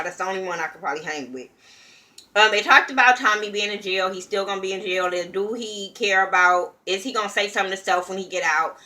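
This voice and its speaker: A woman's voice: mid-range voice, bright, forceful tone. A young woman from California ranting bluntly and profanely while reviewing a reality show in a casual vlog. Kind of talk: casual opinion commentary.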